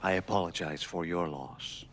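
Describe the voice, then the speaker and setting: An actor playing a cold, formal, menacing heroin supplier in a video game cutscene. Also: male voice, sits low, steady tone, normal speed, fairly bright tone, slight background noise. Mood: cold, controlled.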